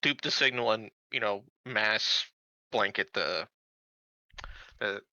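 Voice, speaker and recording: Guy player in a tabletop game, really bright forceful voice, slow, thinking out loud, bit muffled. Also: fairly low pitch, no room sound. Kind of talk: casual game talk, improvising plans.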